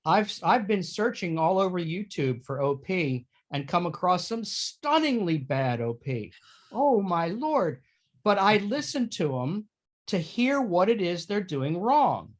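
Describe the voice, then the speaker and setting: A man's voice: high-pitched, big pitch swings, measured pace. A man talking casually as a guest in a panel discussion about his craft. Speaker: panel guest, accent-certified performer.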